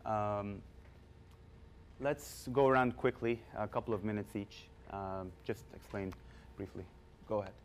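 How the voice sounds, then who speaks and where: A man's voice: lowish voice, fairly even intonation, measured pace, pauses often, slight background noise. A young man leading a class, chatty and upbeat, often asking the students questions. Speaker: course instructor. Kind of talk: informal classroom teaching.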